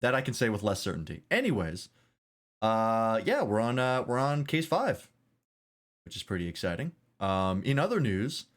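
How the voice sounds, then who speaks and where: A man streaming a game, chatting casually and rambling with self-deprecating jokes. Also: lowish voice.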